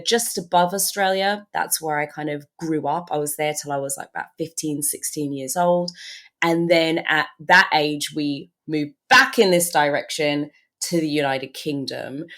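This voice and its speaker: A woman from the Turks and Caicos Islands with a British-sounding accent, chatting as a podcast guest in a lively, laughing way over a video call. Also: low-pitched. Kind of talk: casual interview chat.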